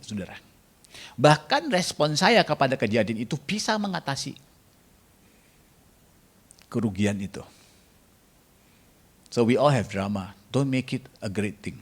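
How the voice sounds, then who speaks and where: A man's voice: mid-range voice, sing-song, measured pace, pauses often. A man preaching a sermon in a jokey way, bantering with the congregation and mixing in English phrases. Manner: jokey, banters with the congregation and asks them to raise hands.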